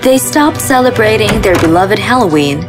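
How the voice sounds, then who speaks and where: A woman's voice: medium pitch, expressive, moderate pace. A woman giving scripted narration of a fairy tale as the storyteller. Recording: music behind the voice.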